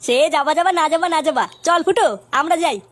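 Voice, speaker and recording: A woman's voice: very high-pitched, fast. A woman voicing a cheeky, nosy, foul-mouthed young boy in a crude comedy cartoon, brash and teasing. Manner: brash, teasing, swearing freely.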